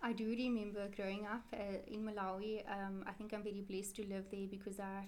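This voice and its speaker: A woman's voice: mid-range voice, little pitch movement, brisk, a little mellow, slight background noise. A woman, answering interview questions in a chatty, rambling way and correcting herself often. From South Africa. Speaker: interview guest, Quran teacher.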